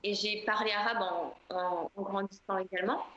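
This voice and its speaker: A woman singer answering interview questions in a relaxed, chatty way, with many fillers. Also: medium pitch, moderate pace, reverberant, dull audio. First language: French.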